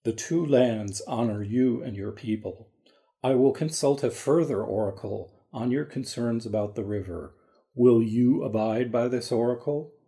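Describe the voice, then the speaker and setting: A man playing a solemn, caring divine king of ancient Egypt in an audio drama, speaking in a measured, formal, regal way. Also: medium pitch, dark tone, lightly acted. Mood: warm, formal. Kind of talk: dramatised audio story reading.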